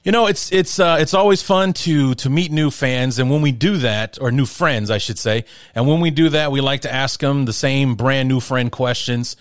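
A man's voice: mid-range voice, normal speed, few pauses, dry recording, clean studio sound. A man hosting a sports podcast, blunt and opinionated, swearing freely as he talks. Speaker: podcast host. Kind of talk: casual sports podcast talk.

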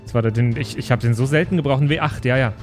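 A man's voice: mid-range voice, very fast, darkish tone. A man chatting casually as a player in an improvised tabletop role-playing game. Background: music under the voice.

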